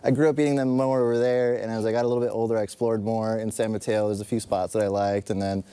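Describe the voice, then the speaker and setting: A man's voice: on the low side, little pitch movement, fast talker, mellow, clear, resonant tone. A young man from the Bay Area, a filmmaker speaking as a guest on a live panel in an easygoing, enthusiastic, loosely worded way. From San Francisco Bay Area.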